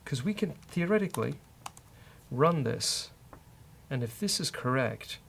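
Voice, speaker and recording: A man hosting a casual live-coding session, thinking aloud as a software developer. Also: mid-range voice, lively intonation, measured pace, vocal fry. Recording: faint noise, keyboard typing.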